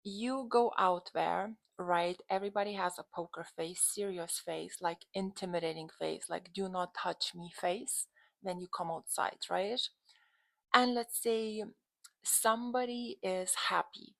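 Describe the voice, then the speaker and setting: A woman's voice: fairly low pitch, steady tone, unhurried, creaky, fairly bright tone, no room sound. A woman from Lithuania with a Lithuanian accent, talking casually to camera as a coach in an emphatic, conversational way. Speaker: dating and relationship coach, channel host.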